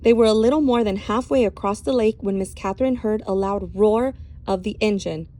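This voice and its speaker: A woman reading a novel aloud as an expressive storyteller. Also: medium pitch, a little fast.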